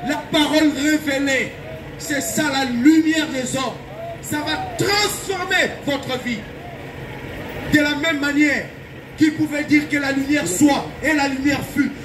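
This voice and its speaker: A man's voice: extremely high pitch, a little slow, a crowd in the background, background noise. A man from Belgium preaching an evangelistic sermon in an urgent, exhorting and emphatic way, repeating his phrases. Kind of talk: fervent open-air evangelistic sermon.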